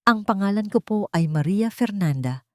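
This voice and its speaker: A narrator woman plays a wronged young heroine in an audio romance drama. She sounds earnest and vulnerable yet steadfast, in a mellow, very dry voice. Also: medium pitch, animated, moderate pace, dry recording, natural voice. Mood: resolute.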